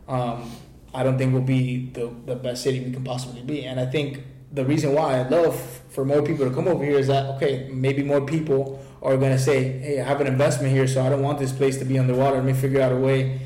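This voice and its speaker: A man's voice: medium pitch, fairly quick, reverberant, rough recording. A man hosting a casual conversation, talking with energy and often checking "right?". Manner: energetic, keeps saying "right?". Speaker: host.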